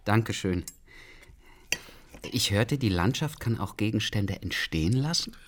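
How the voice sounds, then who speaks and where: An actor playing a polite, inquisitive aviation official in a radio play, speaking in an understated, slightly hesitant way. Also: male voice, lowish voice, expressive, measured pace. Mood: curious. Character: polite, inquisitive male aviation authority investigator. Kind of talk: naturalistic radio play dialogue.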